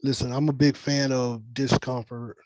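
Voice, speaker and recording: A man's voice: medium pitch, flat delivery, moderate pace, a little mellow, a little hiss or hum, poor audio. A man leading a casual group discussion on a video call, explaining his views in a blunt, profane way. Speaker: director leading a table read discussion. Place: indoors on a virtual meeting.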